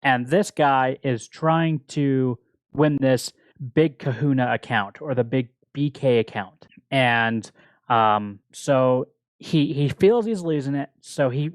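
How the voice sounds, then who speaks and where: A man's voice: medium pitch, slow, dry recording. A man speaking casually as a book club podcast panelist, retelling and discussing a story.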